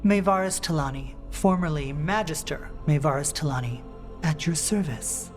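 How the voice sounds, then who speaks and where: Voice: mid-range voice, moderate pace, natural voice. An actor playing a poised, wry aristocrat and rebel leader in a fantasy video game, urbane and formal. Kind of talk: dramatic video game acting. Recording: faint music behind.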